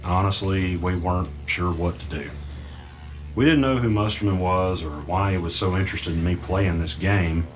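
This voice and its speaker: An uneasy, brooding podcaster played by a man narrates his own mystery in a low voice. The scripted delivery is deliberate and halting, with faint music underneath. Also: close to an everyday voice. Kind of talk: scripted first-person narration.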